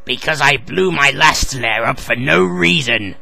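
A man's voice: mid-range voice, lively intonation, measured pace, gravelly, noisy background. A man voicing a petty, bombastic male villain in an amateur cartoon parody. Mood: deadpan.

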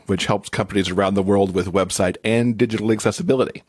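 A man's voice: fairly low pitch, fairly even intonation, fast, slightly rough, no echo, clean studio sound. A man chatting casually as an author guest in a podcast interview.

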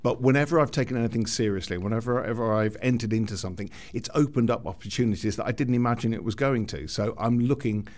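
A man's voice: mid-range voice, fast, few pauses, darkish tone. A man who is a student, answering questions conversationally in an interview.

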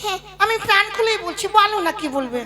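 Woman acting a shrill, plaintive wife in puppet comedy. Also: expressive, fast, bright, forceful tone, exaggerated voice. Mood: expectant. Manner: plaintive, scolding. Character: nagging, tearful, soft-hearted ageing wife. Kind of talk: comic folk puppet-play acting.

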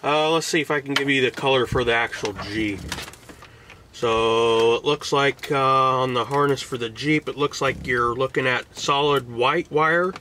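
A man's voice: mid-range voice, measured pace, sharp tone, a little hiss or hum. A man in his forties giving a casual hands-on install tutorial, recorded on a camera mic inside a parked car. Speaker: DIY car vlogger. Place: inside a parked car outdoors, door open.

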